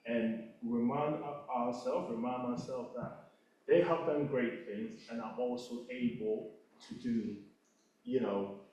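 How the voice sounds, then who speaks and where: An earnest man speaks off the cuff at a panel, thinking aloud as he goes. His voice sits at an ordinary pitch. He is picked up from a distance in a very echoey hall. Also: steady tone, slow pace, warm tone. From Ghana. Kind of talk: unscripted reflective talk at an event. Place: event hall.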